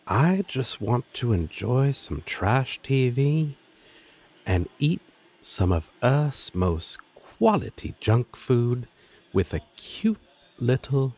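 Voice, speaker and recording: Husky, flirty demon roommate played by a man. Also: medium pitch, darkish tone, full character voice. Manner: teasing, casual, drawn-out delivery with dramatic swoops. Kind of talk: scripted audio roleplay addressed to listener.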